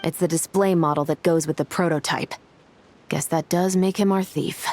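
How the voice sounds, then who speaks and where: A woman playing a cool, resolute law enforcement investigator in a video game, measured and serious. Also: deep voice, fairly even intonation, a little mellow, slight background noise, natural voice. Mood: wary.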